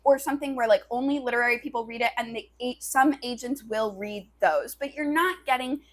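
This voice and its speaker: High-pitched woman in casual podcast chat. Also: fairly quick. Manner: very colloquial, loose valley-girl-like phrasing full of "like". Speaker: podcast co-host.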